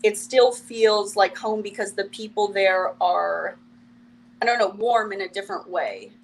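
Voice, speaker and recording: A young woman from Ukraine speaks with a Russian accent. She is a comedian guest in a relaxed conversation, wry and joking. She laughs often as she talks. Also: mid-range voice, unhurried, a little hiss or hum, low-quality recording. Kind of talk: casual interview chat.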